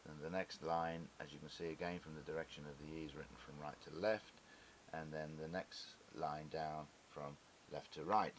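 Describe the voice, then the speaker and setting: A man whose first language is Greek gives an explanatory lecture as a presenter, speaking in a halting, deliberate way with pauses mid-phrase, on a hissy recording. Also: extremely low pitch. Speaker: presenter of an educational video. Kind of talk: explanatory lecture with visual aids. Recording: hissy recording with steady background noise.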